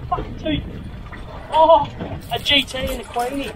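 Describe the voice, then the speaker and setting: An Australian man talking casually while he fishes from a small boat, excitable and swearing freely as he reacts. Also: extremely high pitch, a little slow, slight background noise, rough recording. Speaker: fishing vlogger. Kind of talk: casual running commentary while fishing. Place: small boat out on open water.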